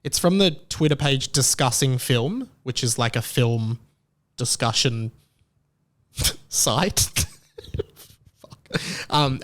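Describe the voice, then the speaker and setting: A man hosts his own podcast with a slightly high, smooth and clear voice. He rambles through casual chat, restarting his sentences and jumping up in pitch when something strikes him as absurd. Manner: animated, incredulous, rambling with restarts. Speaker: podcast host.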